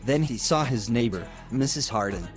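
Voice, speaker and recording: A man tells short moral stories for children, reading them out stiffly from a script. His pitch is average for a man, and music plays behind him. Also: animated, normal speed. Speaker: children's story narrator.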